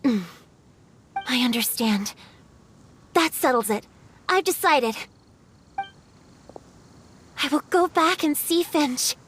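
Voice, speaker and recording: A woman voicing a gentle, melancholy water spirit in a video game, speaking softly in measured, formal phrases. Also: sits high, expressive, slight background noise, exaggerated voice. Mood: resolved, earnest. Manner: wistful, measured, formal storytelling. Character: gentle melancholy female water spirit, youthful yet decades old.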